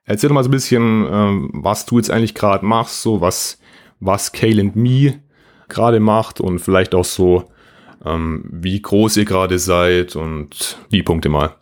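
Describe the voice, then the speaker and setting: A man with a fairly low voice hosts an interview podcast while slightly under the weather with a cold. He talks in a relaxed, chatty way and fills his pauses with casual "ähm" sounds. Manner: relaxed, uses fillers. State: has a cold. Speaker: podcast host.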